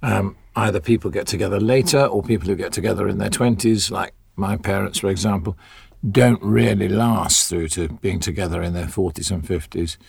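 A man's voice: low-pitched, normal speed, creaky, breathy edge, no room sound. A middle-aged man, an actor, talking reflectively in an interview. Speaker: audio drama actor.

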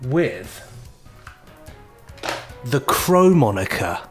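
A man's voice: medium pitch, very animated, drawn-out words, soft background music. A man with a British accent chatting casually and playfully as a podcast co-host. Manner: jokey, playful. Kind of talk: casual scripted-and-ad-libbed chat.

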